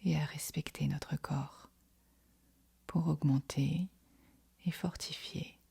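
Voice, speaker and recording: A woman guiding a yoga nidra meditation in a breathy, whispery, husky voice, speaking softly and soothingly with long pauses. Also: low-pitched, fairly even intonation. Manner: soft, soothing, unhurried instruction with long pauses. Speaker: meditation guide.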